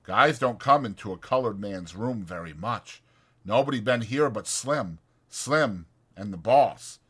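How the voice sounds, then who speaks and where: A man voicing a bitter, proud, cynical stable hand with blunt, rough rural speech for a dramatized audiobook. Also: on the low side, expressive, measured pace, a bit bright, heavily acted. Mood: dark, guarded.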